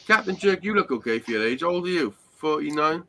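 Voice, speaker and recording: A man's voice: a little high, lively intonation, fast, fairly bright tone, slight background noise. A man in his forties, swearing and teasing his co-hosts in casual banter on a live stream. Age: 40s. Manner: crude, teasing, swears freely. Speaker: panel regular.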